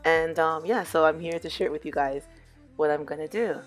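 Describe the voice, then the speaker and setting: A hoarse, somewhat low-pitched woman talks viewers through a crafting project in a relaxed, chatty way. Her voice sounds a little under the weather. Soft music plays faintly underneath. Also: a little fast. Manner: relaxed, chatty, addresses viewers as "you guys". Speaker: DIY crafts vlogger. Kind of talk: casual crafting tutorial voice-over.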